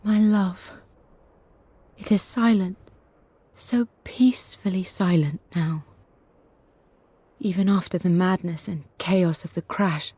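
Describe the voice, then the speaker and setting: Slow, breathy, fading loving mother. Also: mid-range voice, no echo. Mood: tender sorrow. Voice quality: soft, breathy. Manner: slow, tender, fragile. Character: dying, loving mother, gentle, sad and weary. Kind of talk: intimate dramatic letter reading.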